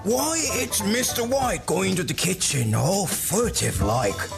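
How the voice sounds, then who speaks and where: Creaky-voiced chatty Cockney maid. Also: mid-range voice, expressive, a little slow, over loud music. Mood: gossipy, dramatic. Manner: theatrical, gossipy, repeats phrases as tag endings. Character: chatty working-class British maid witness in a mock trial. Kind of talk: audio comedy drama acting.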